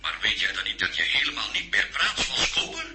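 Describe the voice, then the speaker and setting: Animated Belgian gentleman, exasperated and teasing. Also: on the low side, fairly quick, noisy background. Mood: surprised, curious. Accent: Belgian Dutch. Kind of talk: theatrical radio play acting.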